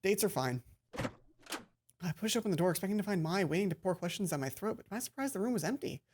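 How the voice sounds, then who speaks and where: A woman's voice: mid-range voice, quick pace, slightly rough. A woman let's player reading a visual novel aloud expressively, now and then stumbling and repeating a line. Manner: expressive, stumbles and rereads lines.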